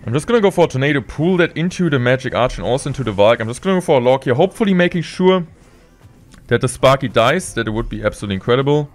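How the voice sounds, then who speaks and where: A young man streaming gameplay from a room indoors, talking through each move in steady play-by-play with excited bursts at big plays, a little sick. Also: medium pitch, rapid-fire, faint music behind. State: slightly sick. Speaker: game streamer. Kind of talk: live gameplay commentary.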